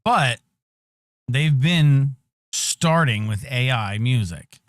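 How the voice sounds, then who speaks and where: A man's voice: medium pitch, animated, takes it slowly, dry recording, studio quality. A man with a whiny, nasal voice co-hosting a podcast through an EQ'd mic, chatting casually and giving his opinions. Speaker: podcast co-host. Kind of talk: casual chat.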